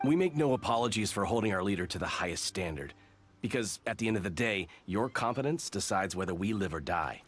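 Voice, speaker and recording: A man playing a stern, pragmatic veteran soldier in a video game, measured and matter-of-fact. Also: on the low side, animated, breathy edge. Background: game music.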